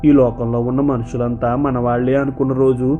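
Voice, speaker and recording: A man playing a calm, selfless village farmer in a cartoon story, speaking gently and thoughtfully. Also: medium pitch, narrow, tinny audio, soft background music, lightly acted. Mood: earnest resolve. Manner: gentle, measured, philosophical.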